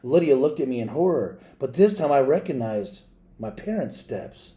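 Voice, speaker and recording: Male storybook reader, warm dark timbre, dramatic and deliberate delivery. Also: medium pitch, sing-song. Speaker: read-aloud reader. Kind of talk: storybook read-aloud, expressive narration.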